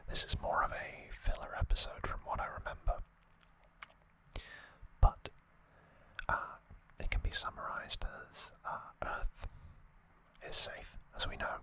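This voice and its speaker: An ASMR host whispering a recap of trivia in a breathy, unvoiced voice, with halting, informal asides. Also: bright, forceful tone.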